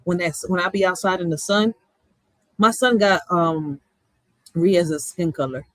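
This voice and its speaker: A woman's voice: fairly low pitch, a little slow, no room sound. A woman with a small, girlish voice, chatting casually in blunt slang and often raising her voice as a streamer. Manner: animated, blunt, slangy, raises voice. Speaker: streamer host. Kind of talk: casual reaction chat.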